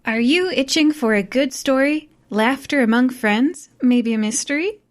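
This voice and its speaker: A woman's voice: high-pitched, normal speed, soft background music. A woman reading a scripted podcast advertisement as its narrator.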